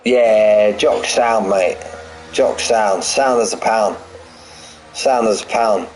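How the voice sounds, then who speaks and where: A man's voice: on the low side, slow pace, a little mellow. A man in his forties calling a sim race live, chatty and jokey, repeating phrases and bantering with his viewers between the action. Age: 40s. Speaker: sim racing commentator and streamer. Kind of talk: live race commentary mixed with banter with chat.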